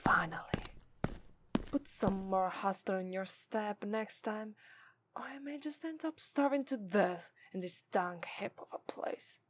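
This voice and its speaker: A woman's voice: mid-range voice, natural voice. A woman with an Italian accent voicing a scornful demon femme fatale in an ASMR roleplay, condescending and drawing out her disdain. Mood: impatient, scornful. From Italy. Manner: condescending, drawn-out disdain, breathy asides.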